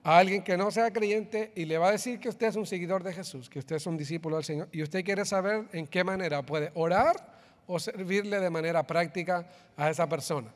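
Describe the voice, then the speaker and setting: A man's voice: sits high, a little fast. A man with a Chilean accent giving announcements to a congregation and leading them through a group reading. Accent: Chilean Spanish. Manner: upbeat, addresses congregation directly, leads group reading. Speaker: pastor giving church announcements. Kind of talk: church announcements. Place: church sanctuary. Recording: long hall reverb.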